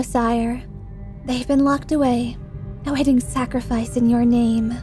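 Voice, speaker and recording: A woman playing a devoted cultist servant who reports to a dark lord in a formal, reverent way. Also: high voice. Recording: echoey hall reverb.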